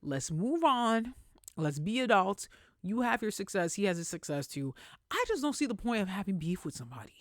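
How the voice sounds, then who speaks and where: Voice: mid-range voice, big pitch swings, moderate pace, no echo, studio quality. A podcast host giving casual, opinionated celebrity commentary in a conversational, emphatic way with plenty of slang.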